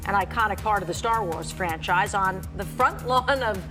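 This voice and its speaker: A woman's voice: medium pitch, moderate pace, over music. A woman working as a TV news anchor in a studio, moving between presenting stories and casual banter.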